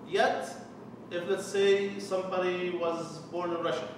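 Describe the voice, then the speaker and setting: A man's voice: sits high, fairly even intonation, measured pace. A man in his forties from Palestine with a Palestinian Arabic accent, a church mission developer giving an earnest personal testimony with wry jokes. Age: 40s. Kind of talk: personal testimony talk to a committee. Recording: echoey room from far off, with noise.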